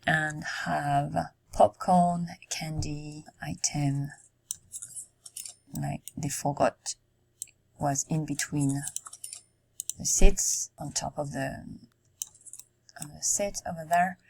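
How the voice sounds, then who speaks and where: Woman, low husky voice, slow unhurried delivery, thinking aloud as she builds. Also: steady tone, darkish tone. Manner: musing aloud, halting, frequent ums. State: losing her voice. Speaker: Sims content creator. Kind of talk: casual gameplay commentary while building.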